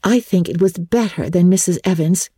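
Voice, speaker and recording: A woman's voice: lowish voice, moderate pace, warm tone, husky, no room sound, studio quality, pitched above the performer's own voice. A woman voicing a wistful teenage girl who sighs and dreams of riches, in a storybook reading. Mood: wistful, excited. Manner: sighing, wistful.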